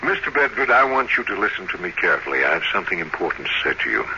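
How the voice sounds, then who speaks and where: A man acting a probing, authoritative doctor, deliberate and instructing, in a muffled, noisy 1950s radio drama. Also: low-pitched, very animated, sharp tone, full character voice. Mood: careful, grave. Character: probing, authoritative male doctor treating a patient. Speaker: doctor, therapist.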